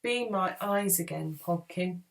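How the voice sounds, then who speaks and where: A woman's voice: fairly low pitch, a little slow. A woman voicing a strained, desperate male warrior rabbit in a storybook, calling out urgently. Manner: urgent, shouting.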